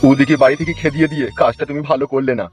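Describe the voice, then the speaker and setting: A man voicing a gruff but kindly middle-aged village father in a comic cartoon. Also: medium pitch, steady tone, very fast, natural voice. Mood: reproachful. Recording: dull lo-fi audio, music bed.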